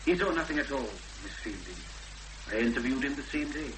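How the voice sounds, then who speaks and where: An actor playing a cautious, courteous rural policeman with a Welsh accent and a halting official delivery in a 1970s radio drama. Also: mid-range voice, expressive, lightly acted. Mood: wary, advising. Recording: old radio recording, loud hum and noise.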